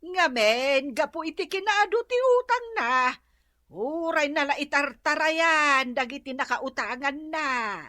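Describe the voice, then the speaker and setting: Actress playing a high, bright, gossipy nagging wife. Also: female voice, expressive, measured pace, no room sound, studio quality, heavily acted. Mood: gossipy, pleased. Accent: Ilocano. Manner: exclamatory, scolding and chatty. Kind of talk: Ilocano comedy radio drama.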